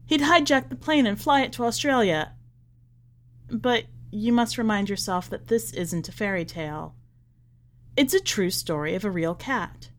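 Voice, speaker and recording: A woman reading in a measured, expressive way, as a podcast host presenting and narrating short fiction. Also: mid-range voice. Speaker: podcast host and story reader.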